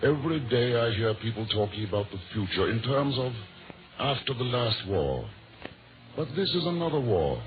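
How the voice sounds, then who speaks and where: A man delivering a formal, scripted 1940s radio address in solemn, deliberate oratory. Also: mid-range voice, sing-song. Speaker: speaker reading a signed statement. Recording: tinny vintage 1940s radio, faint music.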